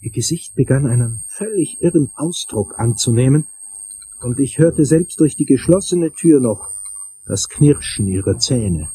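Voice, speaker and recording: An actor playing a hard-boiled detective, narrating his case in a deliberate, theatrical crime radio drama style. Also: medium pitch, expressive, mellow, slightly rough, poor audio. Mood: suspenseful. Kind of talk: noir first-person crime drama narration.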